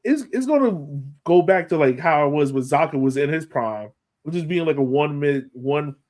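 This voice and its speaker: A man's voice: on the high side, expressive, moderate pace, a little mellow. An American man co-hosting a sports podcast, analyzing a match in a colloquial, emphatic way and repeating points for stress. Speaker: podcast co-host.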